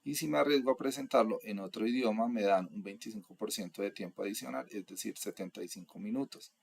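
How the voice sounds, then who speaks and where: A young man from Colombia delivers a methodical lecture, walking through points one by one. His voice sits low and carries a husky, gravelly texture. The delivery is even and instructional. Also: quick pace. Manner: steady, methodical, lists items one by one. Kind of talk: explanatory lecture narration.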